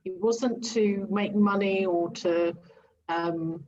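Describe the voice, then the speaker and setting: A woman's voice: mid-range voice, fairly even intonation, takes it slowly, a little mellow, highs cut off, slight background noise. A Greek-born woman, a native Greek speaker, answering interview questions as a guest coach, with many ums and restarts. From Greece. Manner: hesitant, many ums and restarts, light laughs.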